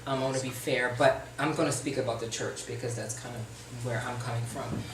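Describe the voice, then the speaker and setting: Guest therapist, woman, very low voice, serious and passionate, recorded off-mic in a roomy space. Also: fairly even intonation, brisk, background noise. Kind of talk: talk show guest conversation. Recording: roomy sound from a distant mic.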